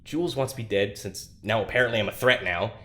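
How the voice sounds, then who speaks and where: An actor playing a troubled, anxious young man in a confessional audio drama, speaking haltingly with self-deprecating, dry sarcasm. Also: on the low side, a bit bright, close to an everyday voice. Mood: self-doubting, despondent.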